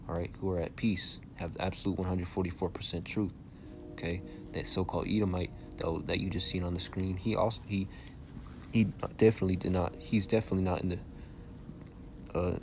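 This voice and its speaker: A soft-spoken young man teaching scripture casually, reading verses aloud and checking in with "all right?" and "okay?". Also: low-pitched, noisy background. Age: young adult. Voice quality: soft-spoken by his own account. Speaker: Bible teacher.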